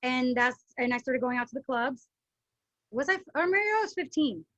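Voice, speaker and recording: A woman hosting a podcast, chatting casually in a lively way and quick to chime in. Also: high-pitched, dry recording, muffled recording. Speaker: podcast host. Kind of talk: casual chat.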